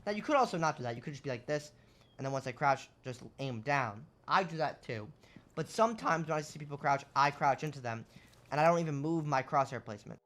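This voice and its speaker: A young man with a voice going hoarse, casually explaining shooter game tactics over gameplay and often checking in with "right?". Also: fairly high pitch, animated, a little fast, a bit bright. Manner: explanatory, rhetorical questions, lots of "right?". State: losing his voice. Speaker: gaming content creator. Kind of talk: casual gameplay tips commentary.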